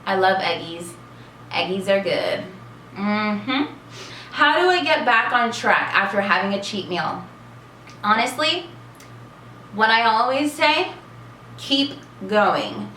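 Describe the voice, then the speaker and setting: Casting: chatty woman in her twenties, vlogger, bright voice, echoey room, faint hiss. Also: mid-range voice, sing-song, slow pace, pauses often. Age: 20s. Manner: chatty and bubbly, many fillers. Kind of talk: casual Q&A vlog.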